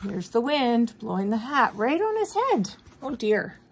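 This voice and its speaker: A woman's voice: a little high, sing-song, a little slow, slight background noise. A middle-aged or older woman with a mild cold, reading a children's story aloud expressively, with questioning asides to young listeners. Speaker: grandmother reading to grandchildren.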